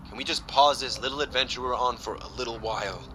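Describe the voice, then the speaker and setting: A man's voice: medium pitch, fast talker, pushed and bright, a little hiss or hum, close to an everyday voice. A young man with an American accent playing a protective, exasperated, foul-mouthed best friend in a naturalistic audio drama. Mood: subdued, concerned. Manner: blunt, profane, slangy.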